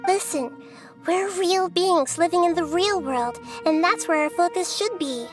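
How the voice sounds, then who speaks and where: Wise little girl goddess, high voice, gentle and thoughtful, game dialogue over music. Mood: earnest explaining. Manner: measured, earnest explaining. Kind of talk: video game voice acting. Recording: music behind the voice.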